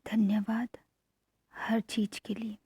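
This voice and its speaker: A woman narrating guided meditation affirmations in a hushed manner, pausing between short phrases. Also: fairly high pitch, little pitch movement, husky, no echo.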